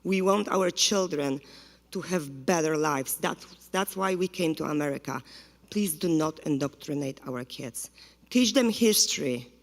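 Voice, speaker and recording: Parent at the podium: Polish-accented woman, gravelly edge, urgent and halting, echoing meeting hall. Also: low-pitched, animated. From Poland. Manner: earnest, pleading, halting speech. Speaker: parent giving public comment. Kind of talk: public comment at a school board meeting. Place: school board meeting room. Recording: reverberant hall.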